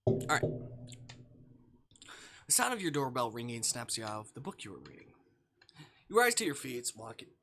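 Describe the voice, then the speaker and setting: Animated, bright-voiced man streaming a game. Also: mid-range voice, moderate pace, pauses often, a little hiss or hum. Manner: informal, jokey, swearing, reacting to the story. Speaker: live streamer. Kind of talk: reading a visual novel aloud with casual asides.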